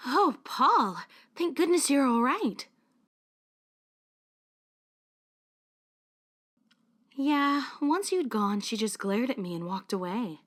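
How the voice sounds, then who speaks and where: A woman playing a protective high school club leader who is caring and secretly anxious, in a fan-made visual novel dub. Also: animated, measured pace. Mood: relieved, concerned.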